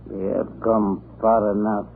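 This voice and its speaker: An actor playing a sullen old Russian prisoner guide in a radio drama, gravelly, terse and halting, with a brooding manner. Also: male voice, on the low side, level tone. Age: older. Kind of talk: 1940s radio drama acting. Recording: muffled, noisy old radio recording.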